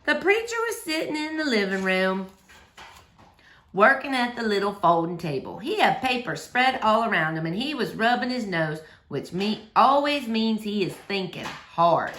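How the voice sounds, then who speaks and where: Animated woman reading a story, echoey room. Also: medium pitch, normal speed. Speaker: teacher reading a novel aloud to children. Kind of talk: expressive scripted children's story narration. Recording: roomy, echoey indoor sound.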